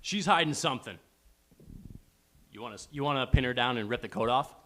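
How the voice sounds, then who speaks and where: A man's voice: mid-range voice, animated, fairly quick, fairly bright tone, close to an everyday voice. A man playing a brash, dismissive, macho barroom buddy in a live sitcom table read. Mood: brash, scornful.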